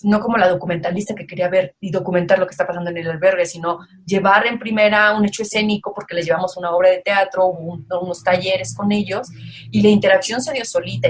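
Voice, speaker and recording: A Mexican woman talks loosely and openly as a guest on a radio interview, circling her thoughts with fillers and restarts. Her voice sits at an ordinary middle pitch. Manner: chatty, many fillers and restarts. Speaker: guest documentary filmmaker. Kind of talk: casual interview talk.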